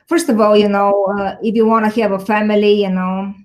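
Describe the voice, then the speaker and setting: A woman's voice: mid-range voice, level tone, a little fast, darkish tone. A middle-aged woman with a Bulgarian accent answering interview questions conversationally, candid and self-deprecating, telling anecdotes as a technology executive.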